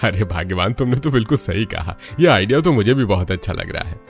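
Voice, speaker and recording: A man's voice: fairly low pitch, expressive, quick pace, gravelly, soft background music, voice pushed down. A man voicing a thrifty middle-aged husband and father-in-law in a cartoon story, pleased and agreeing. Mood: pleased approval. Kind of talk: cartoon story character dialogue.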